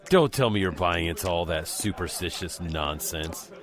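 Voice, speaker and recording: A man's voice: sits low, lively intonation, normal speed. A man playing a scornful, skeptical dinner guest in an audio drama, dismissive and scoffing. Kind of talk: scripted audio drama dialogue.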